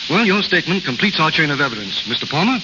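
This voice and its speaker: On a muffled, very hissy old radio recording, a fast-talking male official. He is pompous, polite and effusive, in 1940s drama style. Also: fairly high pitch, big pitch swings. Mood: effusive, formal. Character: formal, effusive, congratulatory official bearing a medal.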